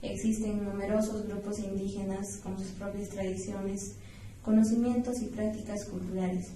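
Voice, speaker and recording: A woman's voice: mid-range voice, little pitch movement, normal speed, warm tone. A young woman with a southern Peruvian accent giving prepared, formal answers as an interview guest. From San Román province, Puno, Peru. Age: young adult. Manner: formal, recited. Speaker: guest, university student. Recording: echoey room, distant mic, faint noise.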